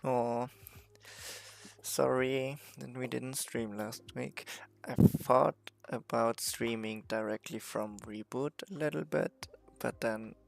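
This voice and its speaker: A hoarse man chatting casually on a livestream about his game development work from a messy university office, relaxed and self-mocking. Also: mid-range voice, takes it slowly. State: hoarse, recovering voice.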